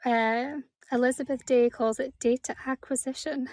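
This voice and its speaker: A woman's voice: high-pitched, steady tone, moderate pace, darkish tone, no room sound. A woman hosting a casual interview, speaking in an encouraging, affirming way. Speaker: podcast host.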